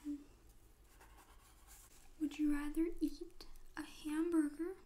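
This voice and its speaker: A young woman speaking in a breathy, mostly whispered ASMR style, gently and informally asking questions as if interviewing a friend. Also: high voice, flat delivery, slow pace. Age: young adult. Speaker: ASMR host. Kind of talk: soft-spoken ASMR friend interview roleplay. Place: small room at home, indoors.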